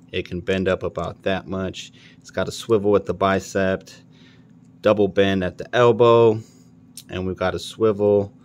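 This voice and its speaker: A man's voice: sits low, a little mellow, a little hiss or hum. A man hosting a casual toy review, speaking informally and enthusiastically with plenty of slang.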